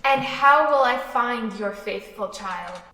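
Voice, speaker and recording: A woman talks through her moves in a tabletop game, pausing to think aloud. Her voice is bright and on the high side. It is recorded from a little distance with faint noise. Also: lively intonation. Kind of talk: casual, thoughtful game chat and roleplay. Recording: distant microphone, slight noise, clicks.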